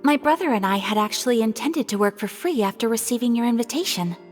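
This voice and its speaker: A woman voicing a refined, gentle young noblewoman. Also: fairly high pitch, expressive, quick pace, natural voice. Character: graceful, polite young noblewoman. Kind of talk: game dialogue. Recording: reverberant with music behind.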